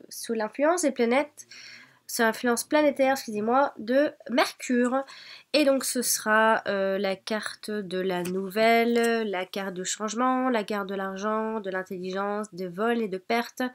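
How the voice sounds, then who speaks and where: A woman with a cold presenting oracle cards, hesitant, with many fillers and restarts. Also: on the high side. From France. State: has a cold. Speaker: oracle card reader. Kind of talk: casual card presentation.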